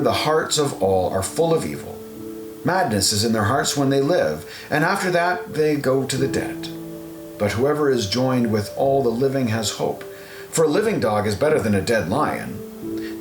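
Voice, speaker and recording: A man reads scripture as an audiobook narrator in a measured, solemn way, at a middling pitch. His voice carries a clear room echo, and guitar music plays underneath. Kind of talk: scripted Bible reading.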